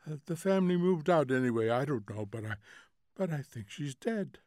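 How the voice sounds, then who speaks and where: A man voicing a vague, drowsy wife in a story reading, soft and faint, hesitant and faltering with trailing repetitions. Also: on the high side, lively intonation, normal speed, no echo, clean studio sound, lightly acted. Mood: detached, forgetful. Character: vague, drowsy, detached wife, forgetful and indifferent.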